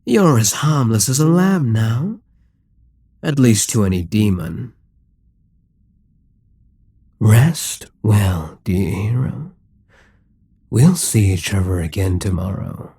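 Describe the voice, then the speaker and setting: A man playing a dominant, gloating Demon King in an intimate ASMR roleplay, smug and coaxing, with a breathy, husky voice that often drops toward a whisper. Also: lowish voice, big pitch swings, slow pace, pauses often, mellow, no echo, studio quality, heavily acted. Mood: coolly triumphant. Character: dominant, gloating male Demon King toying with a captive hero.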